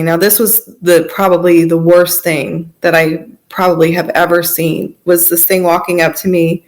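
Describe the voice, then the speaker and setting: A woman telling her personal story as a podcast guest, in a conversational, earnest and halting way. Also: mid-range voice.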